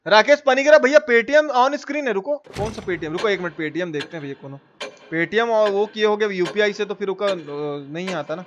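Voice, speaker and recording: A man's voice: high-pitched, brisk. A man with a Bihari Hindi accent doing colloquial, slangy live commentary on a gaming stream, swearing now and then. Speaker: game streamer. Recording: clearly noisy game stream audio.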